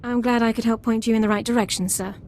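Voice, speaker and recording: A woman's voice: fairly high pitch, steady tone, fast, darkish tone, a little hiss or hum, natural voice. An actress playing a strict, formal female sergeant who speaks in clipped, precise military terms. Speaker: squad sergeant. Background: music.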